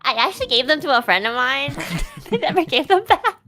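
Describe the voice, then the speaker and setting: A woman's voice: sits high, sing-song, brisk, faint music behind. A woman whose first language is Chinese, chatting casually and awkwardly on a stream, giggling and praising her friend. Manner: giggly, awkward, warmly complimenting. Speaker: guest streamer.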